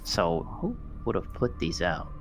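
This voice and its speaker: Gravelly, lowish-voiced man at a gaming table. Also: huge pitch swings, a little slow, faint music behind. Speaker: tabletop game player. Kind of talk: casual chat.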